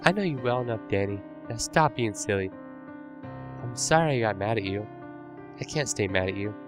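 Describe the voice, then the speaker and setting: A man's voice: on the low side, expressive, normal speed, natural voice. A man voicing an obsessive, unhinged young woman stalker who swings between rage, pleading and sugary menace. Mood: sweetly menacing. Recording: music playing underneath.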